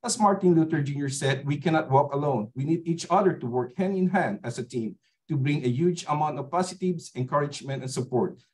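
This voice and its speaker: A man's voice: on the high side, brisk, a little mellow. A man finishing a prepared campaign speech as a board candidate, earnest and formal.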